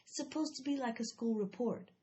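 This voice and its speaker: A woman's voice: fairly high pitch, a little fast, mellow, dull audio, voice pushed up, close to an everyday voice. A woman voicing a know-it-all schoolboy brother who lectures in a bossy way.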